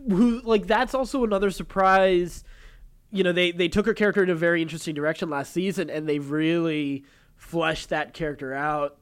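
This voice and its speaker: Higher-voiced man, halting podcast chat. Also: steady tone, clean tone. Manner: chuckling, halting, digressive. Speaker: podcast co-host. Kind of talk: casual chat about TV and voice acting.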